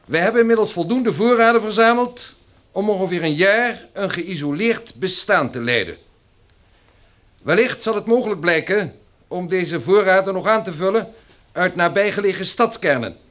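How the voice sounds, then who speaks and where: A male colonel in a radio play, notably high in pitch. He is stiff and officious, delivering administrative briefings in pompous military officialese. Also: animated, moderate pace, fairly bright tone. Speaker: actor in a radio drama.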